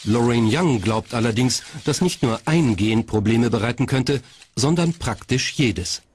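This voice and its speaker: A man's voice: medium pitch, expressive, a little slow, darkish tone, a little hiss or hum. A man reading scripted documentary narration as the narrator. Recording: music behind the voice. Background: background music.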